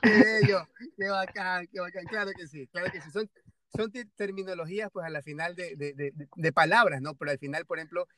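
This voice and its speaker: A man's voice: sits high, brisk, pushed and bright, no echo, rough recording. A man from Ecuador with a Guayaquil accent, hosting a podcast in a casual conversational way, hesitant and full of fillers and restarts. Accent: Guayaquil Ecuadorian Spanish. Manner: hesitant, many fillers and restarts, tag questions. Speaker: podcast host.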